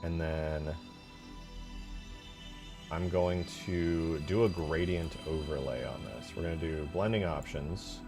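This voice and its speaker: A man with a low voice talks through his work on a livestream, loose and slangy, with jokey asides and a readiness to get excited. He thinks aloud as he goes, and music plays clearly behind him. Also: takes it slowly, pauses often. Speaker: live streamer and game modder. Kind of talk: casual livestream chat and screen tutorial.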